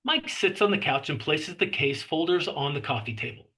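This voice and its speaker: Male narrator for screenplay directions: forward, bright tone, rough husky edge, scripted read. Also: fairly high pitch, lively intonation, moderate pace. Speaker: narrator reading stage directions.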